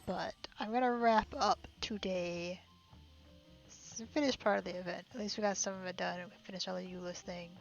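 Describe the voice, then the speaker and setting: A woman's voice: fairly low pitch, steady tone, fairly quick, vocal fry, dull audio. A woman chatting casually and a little giggly as she livestreams a video game. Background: game music.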